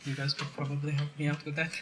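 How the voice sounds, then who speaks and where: A man's voice: fairly high pitch, completely flat delivery, fast talker, noisy background. A man speaking conversationally as the interviewer in a group discussion. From immigrant who grew up partly in Finland.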